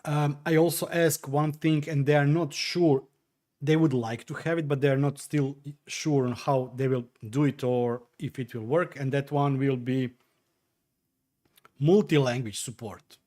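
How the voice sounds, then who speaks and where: A man's voice: mid-range voice, steady tone, unhurried, dark tone. Chatty man streaming from home. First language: Croatian. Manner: hesitant, chatty, many fillers and restarts while tinkering. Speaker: livestream host. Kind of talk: casual livestream chat, answering viewer comments.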